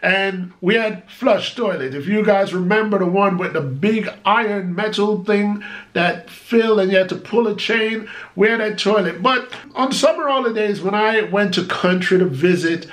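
Man, Jamaican accent, forties, high voice, chatty vlog, home office. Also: normal speed. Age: 40s. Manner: chatty, animated, gesturing with both hands. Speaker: vlogger. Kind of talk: casual talk to camera. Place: small home office indoors.

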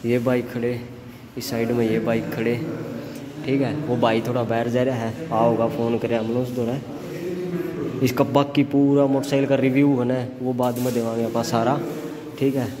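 A man's voice: mid-range voice, fairly even intonation, normal speed, a crowd in the background. A man in his twenties with a Haryanvi accent, giving casual vlog commentary into a handheld phone. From Haryana, India. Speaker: vlogger. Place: motorbike dealership showroom. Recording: echoey hard-walled showroom, loud background noise.

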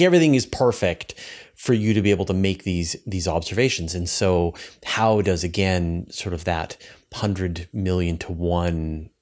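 A man's voice: low voice, a little mellow. A middle-aged man hosting an interview, setting up questions in a conversational way. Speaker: interviewer, host. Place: indoor room, video call.

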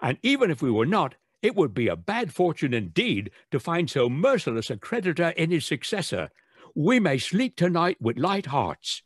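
An actor playing a careworn young husband in debt, earnest and subdued, in a radio drama. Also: male voice, a little high, sing-song, moderate pace, breathy edge, no echo, clean studio sound. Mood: grave relief.

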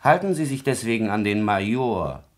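An actor playing a cold, scheming secretary in a 1950s German radio drama, measured, formally polite and insinuating. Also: male voice, on the low side, lively intonation, close to an everyday voice. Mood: cold dictating. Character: cold scheming secretary dictating, oily and mocking.

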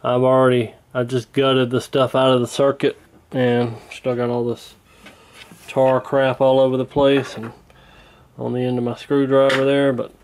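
A man talks through a repair job in a casual, unscripted way. His pitch is average and his intonation stays quite flat. He is recorded indoors at a workbench on a camera mic, with some background noise. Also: moderate pace. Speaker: host, radio repairer. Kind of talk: casual hands-on repair narration. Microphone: handheld camera near the bench.

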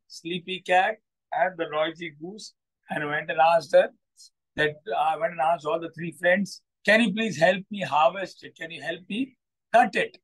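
A man with an Indian accent and a fairly high voice tells a simple story as a coach would. He speaks deliberately and repeats phrases for his listeners. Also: a bit bright, poor audio. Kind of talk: unscripted moral storytelling to a group. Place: open balcony or corridor of a building.

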